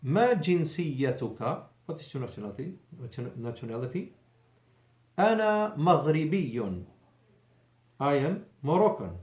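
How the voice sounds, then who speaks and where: A man's voice: a little high, very animated, moderate pace, darkish tone, reverberant. A male Arabic teacher giving a patient classroom lesson in a mosque, explaining in English and repeating Arabic phrases.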